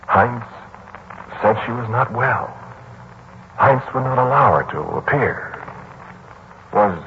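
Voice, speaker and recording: Radio play lead: brooding mathematician narrator, very deep, hesitant and ruminative, on a dulled vintage broadcast. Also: male voice. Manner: hesitant, ruminative, theatrical pauses. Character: brooding male mathematician lodger recounting a haunting mystery. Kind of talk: 1940s radio drama narration. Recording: muffled, noisy 1940s radio broadcast.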